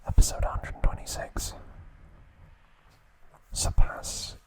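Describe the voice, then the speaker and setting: A man speaks in a soft, unvoiced whisper throughout as an ASMR host. His delivery stays slow, gentle and even, with only a brief aside now and then. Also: poor audio.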